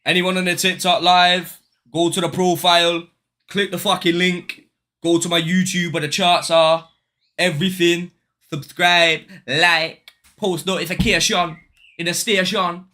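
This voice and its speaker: A man's voice: high-pitched, level tone, measured pace, sharp tone. A young man chatting casually on a live trading stream, slangy and swearing as he banters with viewers. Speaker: day trading livestreamer.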